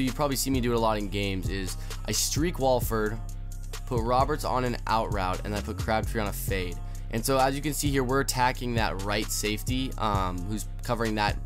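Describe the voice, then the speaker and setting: A young man with a hoarse, somewhat low voice walks through a football video game play by play. His delivery is relaxed and explanatory, full of fillers, with faint music playing underneath. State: hoarse from illness. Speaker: gaming video host. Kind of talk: casual gameplay tutorial commentary.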